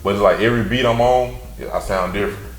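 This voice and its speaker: A man who raps, talking as a guest in a loose, slangy interview, with a deep, mellow voice and lots of fillers. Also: fast, reverberant, faint music behind, low-quality recording. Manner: laid-back, rambling, slangy, many fillers. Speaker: rapper, guest. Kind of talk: casual interview talk.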